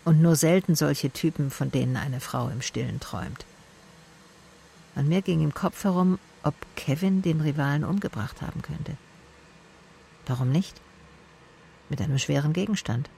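A woman's voice: deep voice, unhurried, vocal fry, long pauses, warm tone, slight background noise. A woman playing a tough private detective, narrating and talking in a dry, laconic, sardonic way in a radio drama. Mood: brooding, sardonic. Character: wry, tough female private detective narrating her case. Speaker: narrator and lead actor.

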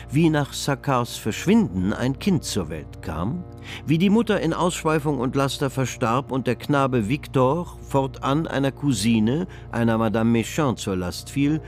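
An actor playing the worldly narrator of a period novel in a scripted radio drama, telling it in literary style. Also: male voice, mid-range voice, a little mellow.

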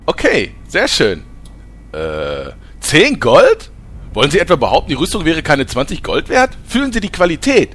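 An actor playing a bitter former star reporter who now sells armor and insists on haggling, in a comedy radio play. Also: male voice, a little high, huge pitch swings, normal speed, fairly bright tone, heavily acted. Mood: indignant. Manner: fussy, indignant, insists on haggling.

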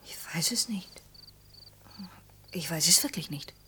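An actress playing a restless, defiant young female student in a radio play, with a breathy voice that often drops toward a whisper. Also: fairly low pitch, lively intonation, a little slow, sharp tone, slight background noise. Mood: hushed, unsure. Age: young adult. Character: restless, defiant eighteen-year-old female student, unsure and curious. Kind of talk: German radio play dialogue.

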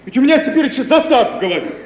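An actor playing an anxious, lovesick young merchant's son with folksy, plaintive, grumbling speech in an old radio play. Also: male voice, very high-pitched, lively intonation, racing, a little mellow. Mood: fretful. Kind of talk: 1950 Russian radio play acting. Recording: muffled, hissy 1950s radio recording.

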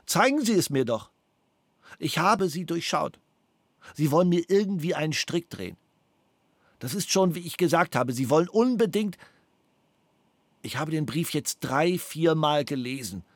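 A man's voice: fairly high pitch, animated, normal speed, long pauses, reverberant. A man being questioned by a prosecutor, agitated and defiant, repeating himself over and over. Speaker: suspect under interrogation. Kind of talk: police interrogation tape.

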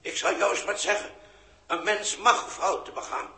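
A man's voice: sits high, normal speed, pushed and bright. An actor playing a proud, tender old father in a 1960s radio drama. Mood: reassuring. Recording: echoey 1960s radio recording.

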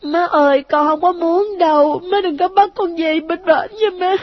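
A woman's voice: extremely high pitch, normal speed, muffled recording, voice pushed up. A woman voicing the ghost of a dead little boy, clingy and pleading in a childlike whine. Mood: resentful.

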